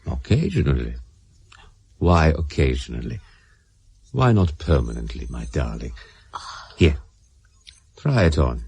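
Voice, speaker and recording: An actor playing a suave, menacing Soviet agent and possessive lover in a radio drama, with a husky, silky, controlling delivery. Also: male voice, rumbling low, very animated, measured pace, long pauses, a little mellow, no room sound, full character voice. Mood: silky, teasing.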